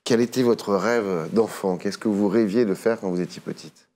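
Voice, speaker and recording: A man hosting a studio interview, speaking in a relaxed, hesitant way with frequent fillers. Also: lowish voice, moderate pace. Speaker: interviewer, show host.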